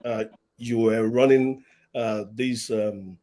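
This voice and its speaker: A man's voice: mid-range voice, steady tone, takes it slowly, dark tone. A man hosting an online live-stream interview, repeating words and reading out audience comments. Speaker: host.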